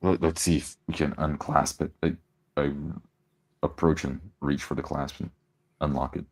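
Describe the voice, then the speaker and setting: Super deep voiced guy playing an rpg, repeats words, talks in character. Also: little pitch movement, normal speed. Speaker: tabletop roleplay player. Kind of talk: in-character roleplay, narrating own actions.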